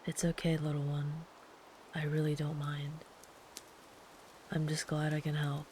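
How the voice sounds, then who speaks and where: A woman voicing a big, gentle, protective traveler camping in the woods, speaking softly and soothingly, sometimes in a whisper, as ASMR comfort roleplay. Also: low-pitched, a little slow, slight background noise. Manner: soft, soothing, calm, at times whispered.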